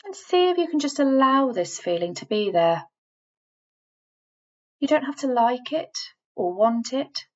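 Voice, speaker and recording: A woman reading a scripted guided relaxation in a measured, instructing manner, leaving deliberate pauses between phrases. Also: high-pitched, big pitch swings, darkish tone, lo-fi sound. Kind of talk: scripted guided meditation voiceover.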